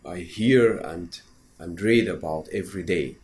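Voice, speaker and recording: A man from Syria whose first language is Kurdish, answering interview questions thoughtfully with many pauses and repeats. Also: deep voice, darkish tone. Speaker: actor guest. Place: festival interview room.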